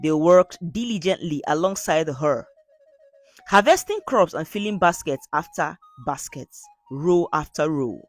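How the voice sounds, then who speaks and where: A woman narrating a scripted folktale in an earnest, measured storybook manner. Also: low voice, expressive, faint music behind.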